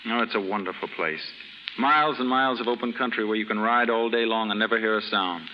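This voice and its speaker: Polite, hesitant well-bred bachelor, gently teasing, 1930s radio drama, lowish voice in a reverberant hall. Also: male voice, quick pace. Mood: tender, wistful. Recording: hissy 1930s radio recording, reverberant stage.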